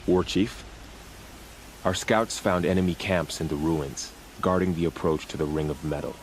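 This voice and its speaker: Man playing a deep-voiced loyal young warrior. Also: normal speed, slight background noise, natural voice, rough recording. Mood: earnest. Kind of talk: video game dramatic dialogue.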